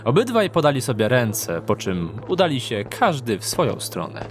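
A man's voice: mid-range voice, wildly swooping pitch, normal speed, a little hiss or hum. A man reading scripted fantasy story narration as the narrator of an audio drama. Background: music.

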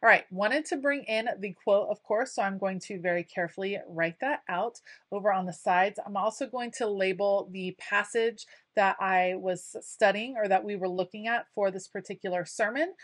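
A woman's voice: mid-range voice, a bit bright, dry recording. A woman talking casually over her craft footage, chatty and enthusiastic with frequent ums. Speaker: craft video creator.

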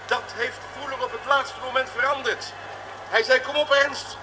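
An actor in a radio drama playing an agitated, bewildered chauffeur who sounds defensive. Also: male voice, very high-pitched, fairly even intonation, moderate pace. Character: flustered, defensive working-class male chauffeur reporting in. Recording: noisy old radio drama recording.

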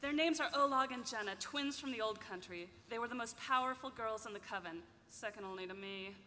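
In a noisy, echoing hall, a woman acts out a haughty succubus who runs a fashion house. The voice is forceful and fairly high, imperious and theatrical, performed as a live table read. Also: fairly quick. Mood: brisk, haughty. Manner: imperious, dramatic. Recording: echoey convention hall, audience noise.

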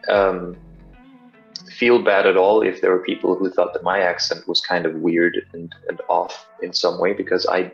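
Deep-voiced Danish man on a video call. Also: little pitch movement, moderate pace, vocal fry, highs cut off, soft background music. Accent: Danish, slightly American-sounding English. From Denmark. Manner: thoughtful, self-deprecating, with many fillers. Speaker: guest partner. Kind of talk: casual video call chat. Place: small bright room indoors.

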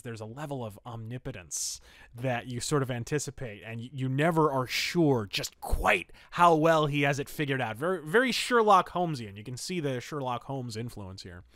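A man with a mid-pitched voice presents a podcast about pulp fiction. He reads stories aloud and reviews them. The sound is dry, with very little room around the voice. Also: lively intonation, normal speed, fairly bright tone, no room sound. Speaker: podcast host.

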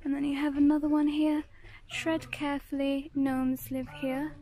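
A woman's voice: sits high, level tone, normal speed, crowd noise. A British woman narrating a casual shopping vlog, listing items in short descriptive phrases. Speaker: shopping vlogger. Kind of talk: casual shop-with-me product showcase narration.

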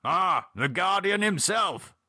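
A man's voice: high voice, expressive, slow, pushed and bright, breathy edge, no echo, clean studio sound, voice pushed up, heavily acted. A man voicing a hearty older upper-class English society gent in an audiobook, with clipped, jovial phrasing. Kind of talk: audiobook character voice.

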